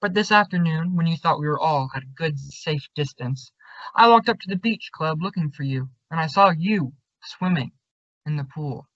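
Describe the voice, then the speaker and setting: A man's voice: on the high side, animated, moderate pace, fairly bright tone, dry recording. An actor playing a suspicious, confrontational young man, insistent and accusing, in an old-time radio suspense drama. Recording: dull, lo-fi audio.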